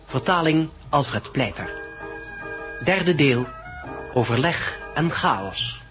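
Male radio play announcer: formal, solemn, very unhurried, music underneath. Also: medium pitch, lively intonation. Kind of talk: formal scripted title announcement.

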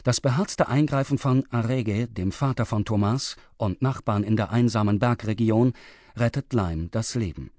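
A man narrating a recap of a radio drama, with steady, even reading. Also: on the low side, moderate pace, dry recording, studio quality.

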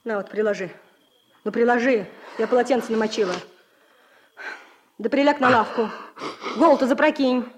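Actress as high-voiced folksy village widow. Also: female voice, moderate pace, pauses often, slight background noise, lightly acted. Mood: firm, caring. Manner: folksy rural speech, plain-spoken. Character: village widow of thirty, simple, hospitable yet firmly proper. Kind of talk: Soviet radio drama acting.

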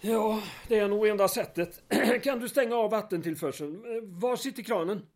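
A man acting a put-upon town mayor in an audio drama, with a dry, sardonic manner. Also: sits high. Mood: dryly practical. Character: exasperated, sarcastic male town mayor doing plumbing.

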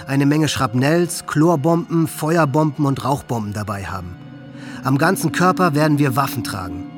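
A man's voice: on the high side, close to an everyday voice. Man playing a contemptuous teenage boy over music. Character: hate-filled, grandiose teenage boy planning a massacre. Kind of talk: radio drama monologue, diary reading.

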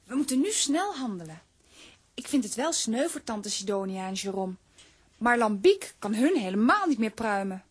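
A woman's voice: fairly high pitch, very animated, moderate pace, slightly rough. An actress playing a clever, determined young girl in a children's audio drama.

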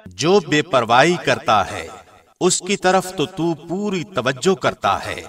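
A man reading a scripture translation as a scripted narration, in a measured, formal way. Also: a little high, sing-song, a bit bright, soft background music. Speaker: translation narrator, radio host.

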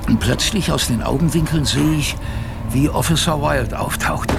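An actor playing a male polar expedition survivor, narrating his story dramatically in first person, halting and repeating phrases. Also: on the high side, vocal fry, noisy background. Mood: gripped, fearful.

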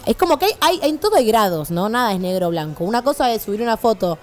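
Radio co-host, female, 20s, Argentine accent: rapid, opinionated, conversational, light music bed. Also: fairly high pitch, sing-song. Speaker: radio show co-host and columnist. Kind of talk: casual radio chat.

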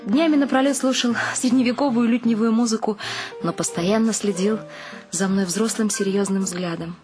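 An actress playing an expressive middle-aged mother who tells the story of her family in a radio play. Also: medium pitch, moderate pace, natural voice. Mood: fond reminiscing. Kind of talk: radio play narration and acting. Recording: music under the voice.

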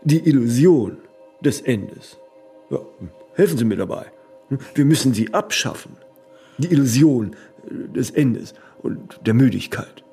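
An actor playing a stubborn, impatient ageing artist in a radio play, husky and creaky, insistent, often ending on small tag questions. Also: male voice, mid-range voice, lively intonation, measured pace, dark tone, faint music behind, full character voice.

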